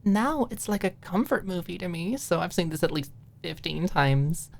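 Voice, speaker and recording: A woman's voice: fairly low pitch, animated, normal speed, vocal fry, pitched above the performer's own voice. A woman voicing a dorky, playful young woman in an audiobook, proudly passionate. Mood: proud, wistful. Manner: mumbles and recites movie lines under her breath. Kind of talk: audiobook character acting.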